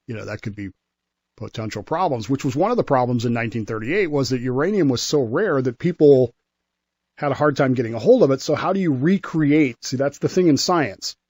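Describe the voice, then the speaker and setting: A man's voice: mid-range voice, lively intonation, normal speed, darkish tone, no echo. A man with a mid-range voice tells history as a radio commentator. He talks conversationally, stopping for emphasis and slipping in asides. The sound is dry, with very little room to it. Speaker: regular history commentator on a radio talk show.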